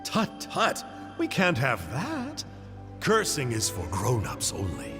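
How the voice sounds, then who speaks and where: An actor playing a sly, glib stranger in a video game, teasing in a drawl. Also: male voice, mid-range voice, wildly swooping pitch, takes it slowly, big-room sound, music in the background, heavily acted.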